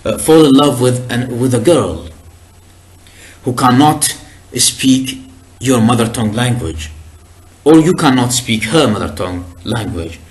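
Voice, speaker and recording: A man whose first language is Arabic, giving a recorded lecture in a deliberate, explanatory way with frequent hesitations and restarts. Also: medium pitch, animated.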